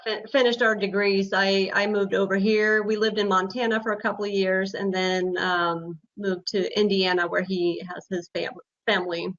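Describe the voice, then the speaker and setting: A woman's voice: mid-range voice, flat delivery, moderate pace, few pauses, a bit bright. A middle-aged woman from Sweden, a native Swedish speaker, answering questions as a guest in a casual interview. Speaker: interview guest, author and blogger. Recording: slightly muffled online call audio.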